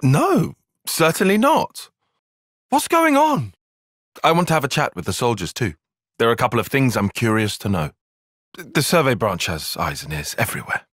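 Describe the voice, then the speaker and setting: A man's voice: medium pitch, huge pitch swings, moderate pace, a bit bright, breathy edge, no echo, full character voice. A man playing an analytical, guarded young soldier strategist in a video game, speaking precisely and articulately with a dry, sarcastic edge. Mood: alarmed, urgent. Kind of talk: video game cutscene voice acting.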